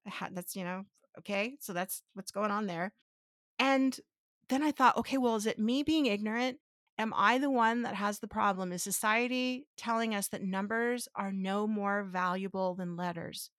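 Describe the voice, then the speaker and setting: A woman with a mid-range voice hosts a relaxed podcast chat, talking through her ideas step by step and often circling back with rhetorical questions. Her first language is Spanish. The sound is dry and close, with no room around it. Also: mid-range voice, moderate pace, dry recording. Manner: thinks aloud, with many restarts and rhetorical questions; explains step by step to her co-host. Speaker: podcast host.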